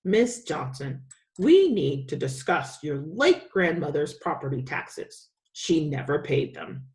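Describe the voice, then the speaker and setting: Woman doing a big polite tax man from a kids book, kinda formal, lowish. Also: very animated, takes it slowly, darkish tone, heavily acted. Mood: businesslike. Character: big, chubby, courteous balding male tax official.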